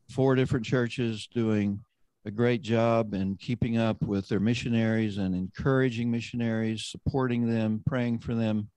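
A man hosting an online roundtable, introducing panelists and leading a prayer in a measured, conversational way. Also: on the low side, darkish tone, no echo. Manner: measured, conversational, often slowing down. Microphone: video call.

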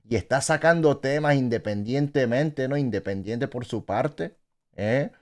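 A man, hosting a reaction video in casual, animated talk from a small indoor room on a studio mic, exclaiming, laughing and tagging his phrases with quick questions. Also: medium pitch. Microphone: studio mic, wearing headphones in gaming chair.

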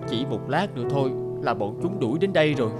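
An actor voicing a frightened, weary fugitive in an animated fairy tale, talking to himself dramatically. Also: male voice, medium pitch, fast, natural voice. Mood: weary, resigned. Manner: dramatic, expressive self-talk. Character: frightened, weary male fugitive hiding in a cave. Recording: loud music under the voice.

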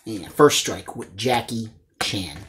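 A man's voice: on the low side, lively intonation, slow pace, background noise. An enthusiastic man in his forties hosting a collecting vlog, chatting casually to his viewers and often addressing them as guys. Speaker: host of a movie collecting channel. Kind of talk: casual chat showing a haul to camera. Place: small indoor room lined with disc shelves.

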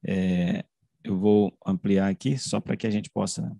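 Halting man presenting research. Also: deep voice, fairly even intonation, vocal fry, dark tone, no echo, studio quality. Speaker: researcher presenting his study. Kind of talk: academic online presentation. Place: indoors, room with bookshelves, video call. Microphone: desk microphone close to the mouth.

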